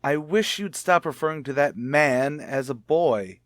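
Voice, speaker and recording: An American actor playing a skeptical, exasperated husband in an old radio mystery drama. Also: male voice, a little high, measured pace, no echo, studio quality, natural voice. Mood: grumbling.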